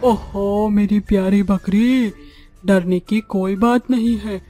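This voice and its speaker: A woman voicing a kind female witch ghost in a cartoon, speaking in a drawn-out, soothing way. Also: mid-range voice, steady tone, warm tone, faint music behind. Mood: soothing, tender. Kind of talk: Hindi cartoon story voice acting.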